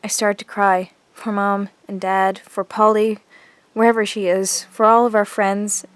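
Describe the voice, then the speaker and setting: A woman's voice: medium pitch, level tone, unhurried, smooth, no echo, lightly acted. An actress playing an earnest teenage girl in a zombie audio drama, confiding and reflective as she narrates her diary. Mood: grieving, reflective. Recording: music under the voice. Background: music.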